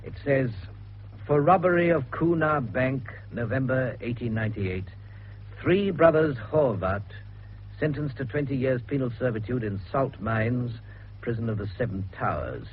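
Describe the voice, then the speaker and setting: A man performing a middle-aged British police investigator in a radio mystery, gruff, clipped and sceptical. His pitch moves a lot around a middling register, and the sound is tinny and narrow with some hiss and noise. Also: animated, normal speed, lightly acted. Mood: reluctant, dry reading. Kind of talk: radio detective drama acting. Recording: tinny, noisy radio recording.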